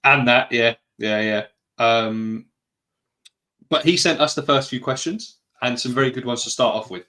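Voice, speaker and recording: A man's voice: mid-range voice, moderate pace, bright, forceful tone. A man with a British accent hosting a live podcast-style stream, chatting casually, greeting viewers and wandering off on asides. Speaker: podcast host.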